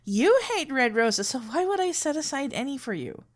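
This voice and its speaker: A woman's voice: high voice, very animated, normal speed. A woman playing a sweet, flustered florist best friend in an ASMR roleplay, playful and awkwardly hesitant. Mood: fond, teasing. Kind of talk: ASMR roleplay acting, one-sided dialogue.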